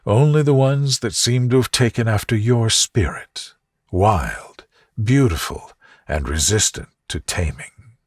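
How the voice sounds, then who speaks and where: Male reader as a devoted gentleman lover: low, husky, soft murmur, tender, for a bedtime storybook romance. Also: expressive, measured pace, no echo, studio quality. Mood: fond.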